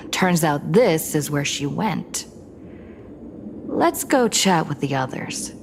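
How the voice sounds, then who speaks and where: A woman's voice: deep voice, big pitch swings, takes it slowly, background noise, full character voice. An actress playing a cool, observant young woman in a video game, dry and matter-of-fact. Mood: dry, pensive.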